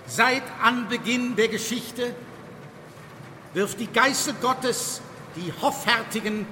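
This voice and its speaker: An actor playing a passionate priest preaching doom in a solemn, declamatory sermon for a radio drama. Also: male voice, very high-pitched, slow pace. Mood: thundering, solemn. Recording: long church-like reverb, noisy ambience.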